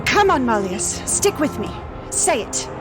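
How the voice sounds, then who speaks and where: A woman voicing a tough, protective female infantry captain who shouts urgent commands in an audio drama. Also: sits high, very animated, unhurried, loud music behind the voice.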